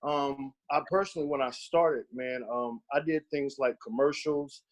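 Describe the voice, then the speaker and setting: A man's voice: mid-range voice, normal speed, poor audio. A man leading a casual training session, talking conversationally with lots of fillers. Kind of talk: casual group training talk.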